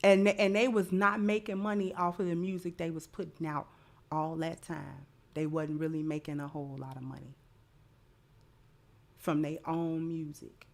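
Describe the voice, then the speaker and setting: A woman's voice: deep voice, little pitch movement, moderate pace, gravelly. An American woman hosting a live commentary show, speaking emphatically and repeating phrases to drive them home. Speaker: show host. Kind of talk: live commentary and sponsor read.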